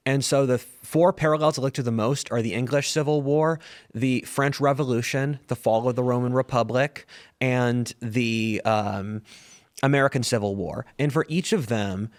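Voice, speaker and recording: A man's voice: mid-range voice, moderate pace, dry recording, clean studio sound. A man arguing his point firmly as a guest on a podcast panel. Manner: assertive, argumentative.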